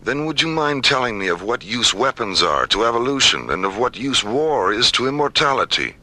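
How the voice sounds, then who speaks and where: An actor playing a principled science director, speaking firmly and articulately in a science fiction radio drama. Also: male voice, medium pitch, very animated, pushed and bright, full character voice. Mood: firm, challenging. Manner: measured, firm, articulate. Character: principled, firm male science director opposing weapons.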